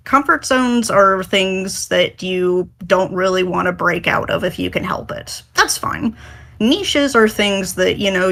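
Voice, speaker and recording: A woman's voice: medium pitch, fairly bright tone. A woman speaking casually as a panelist on a podcast, hedging and self-deprecating as she gives her view. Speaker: panelist, script writer.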